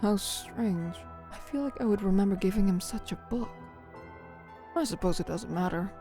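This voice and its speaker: Woman as a stern, mellow-voiced librarian. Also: mid-range voice, faint music behind, lightly acted. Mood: musing. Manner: measured, cautionary. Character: stern, composed academy librarian. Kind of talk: Minecraft roleplay voice acting.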